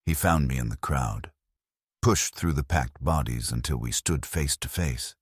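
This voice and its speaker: A man's voice: rumbling low, a little slow, breathy edge, no room sound, studio quality. A man giving a grave, solemn first-person dramatic narration from a script.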